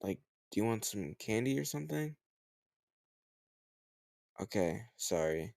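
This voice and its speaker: A man playing a teasing, slightly older home tutor who gently chides the listener in a conversational, intimate roleplay audio drama. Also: fairly low pitch, sing-song, unhurried, creaky, no echo, studio quality, heavily acted. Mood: gently chiding.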